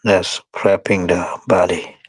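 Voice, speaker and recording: Male meditation teacher, very slow and low, close dry sound, instructing in short spaced phrases. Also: little pitch movement, slightly rough, dry recording. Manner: unhurried, short phrases with pauses; non-standard English grammar.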